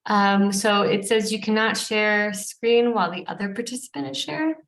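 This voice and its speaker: A woman's voice: medium pitch, normal speed, gravelly, fairly bright tone. A woman guest presenter talking casually and politely over a video call. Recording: echoey remote video-call audio.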